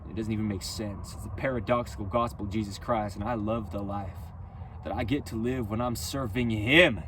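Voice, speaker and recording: A man's voice: lowish voice, steady tone, fairly quick, background noise. Emphatic man freestyling preachy rhymes. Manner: rhythmic rhyming, preachy and emphatic; loud, near-yelling delivery. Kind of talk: spoken-word freestyle rap.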